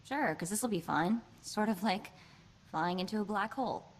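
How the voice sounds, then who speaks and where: An actress playing a sassy, quick-witted young woman hacker in a video game, with a chatty, wry delivery. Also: medium pitch, lightly acted. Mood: nervous. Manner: wry, chatty, hesitant asides.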